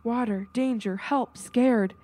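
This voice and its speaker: A woman's voice: fairly high pitch, slow, warm tone. Woman voicing a frightened, pleading little ghost girl. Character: frightened eight-year-old ghost girl spelling out pleas.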